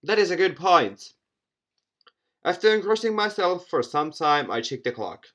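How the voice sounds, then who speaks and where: A man's voice: sits high, big pitch swings, moderate pace, pushed and bright. A young man hosting a gaming video, reading visual novel narration aloud with asides and stumbling over repeated words. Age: young adult. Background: music.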